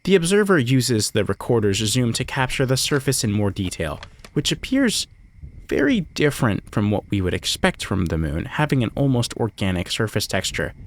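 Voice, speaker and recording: A man delivering scripted, analytical video essay narration in a conversational way, with casual asides. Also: medium pitch. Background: music.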